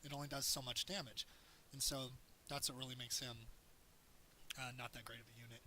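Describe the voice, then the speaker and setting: A man with a hoarse voice, casually talking through a strategy game as he plays it, explaining tips in a loose, rambling way. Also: mid-range voice, flat delivery, a little fast, bright, forceful tone, slight background noise. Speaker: gameplay commentator. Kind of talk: casual game tutorial commentary.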